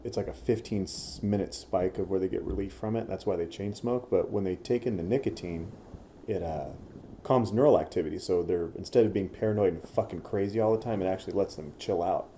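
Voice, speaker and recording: A man co-hosting a laid-back podcast, with a very deep voice and a thoroughly dark, mellow tone. He rambles easygoingly and swears casually. Faint noise hums behind him. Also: a little fast. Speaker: podcast co-host. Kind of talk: casual chat.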